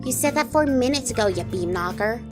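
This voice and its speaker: A woman's voice: a little high, lively intonation, fairly quick, music in the background, lightly acted. A woman playing an irritable, hungry little female goblin guard, impatient and brash, in an audio drama. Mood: irritated, impatient. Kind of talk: audio drama character acting.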